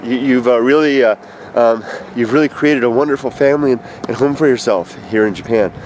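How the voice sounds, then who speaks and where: Stuffed-up fifties man, casual hesitant vlogger, recorded outdoors with clear traffic noise. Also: mid-range voice, a little mellow. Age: 50s. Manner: rambling, halting, with many fillers and restarts. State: stuffed up with allergies. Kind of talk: casual video message. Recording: noisy outdoor street recording.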